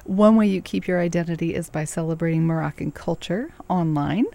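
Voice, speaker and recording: Mellow low-voiced woman interviewer. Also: a little fast, vocal fry. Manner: warm, encouraging, laughs easily. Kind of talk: conversational interview.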